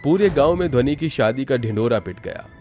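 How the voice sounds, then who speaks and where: Medium-pitched male horror story narrator. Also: expressive, brisk, a little mellow, soft background music.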